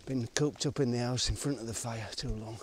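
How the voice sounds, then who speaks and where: A man of middle pitch thinks aloud as he cycles along country lanes, wandering from one thought to the next. His voice comes through a bike-mounted camera, with steady wind and road noise behind it. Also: brisk. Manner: musing, wandering, loose and unscripted. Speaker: vlogger. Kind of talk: rambling monologue while cycling. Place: outdoors on a country road and woodland, riding a bike. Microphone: action camera on the bike. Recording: noisy outdoor action-camera audio.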